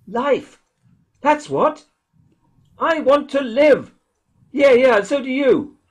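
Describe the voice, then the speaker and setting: An actor playing a jumpy, defiant militiaman surviving alone, with a folksy, halting, agitated delivery in a radio play. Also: extremely high pitch, animated, heavily acted. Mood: defiant, scheming. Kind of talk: dramatic radio play dialogue.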